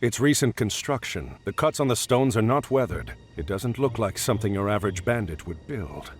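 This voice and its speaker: A man's voice: on the low side, animated, normal speed, natural voice. A man voicing a stern, steadfast warrior commander in a video game, serious and commanding. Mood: grave. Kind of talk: dramatic game cutscene acting.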